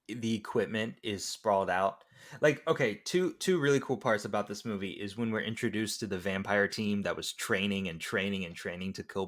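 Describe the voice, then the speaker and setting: A man in a casual film discussion, talking in a joking, animated way. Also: fairly low pitch.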